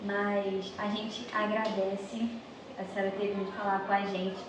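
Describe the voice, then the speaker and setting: A woman's voice: mid-range voice, little pitch movement, unhurried. A young Brazilian woman hosting a podcast interview in a casual, chatty style. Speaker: podcast host. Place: echoey indoor room, far from the mic. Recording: echoey room, distant mic, noisy background.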